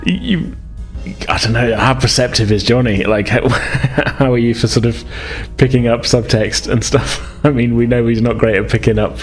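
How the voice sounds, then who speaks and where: A man's voice: mid-range voice, normal speed, faint music behind. A man acting as game master, casually improvising narration in informal, hedging, stop-start phrases.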